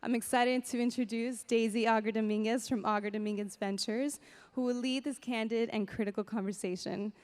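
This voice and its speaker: A woman's voice: a little high, fairly even intonation, brisk, a little mellow. A woman host giving an upbeat welcome speech on a conference stage. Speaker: event host. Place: large hall stage. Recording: reverberant hall.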